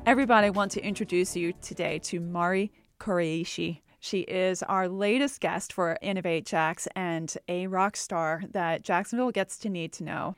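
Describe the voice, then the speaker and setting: A woman's voice: on the low side, normal speed, few pauses, clear, resonant tone, no echo, faint music behind. A woman hosting a podcast, giving upbeat, friendly introductions and asking questions. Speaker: podcast host.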